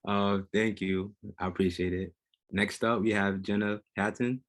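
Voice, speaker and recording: Guy hosting a panel, lots of ums, lowish voice. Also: flat delivery, unhurried. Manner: hesitant, full of ums. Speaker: panel host.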